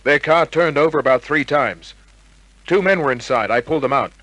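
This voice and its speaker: An actor playing a wisecracking, cool private detective in old-time radio crime drama, with a wry, clipped delivery. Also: male voice, fairly high pitch, moderate pace, fairly bright tone, low-quality recording. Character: wisecracking hard-boiled male private detective, cool under pressure.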